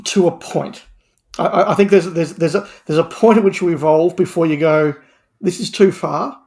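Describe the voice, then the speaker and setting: A man's voice: fairly high pitch, moderate pace, darkish tone. A man speaking as a podcast guest in an opinionated discussion, stressing his points by repeating words and phrases. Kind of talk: animated opinionated panel discussion.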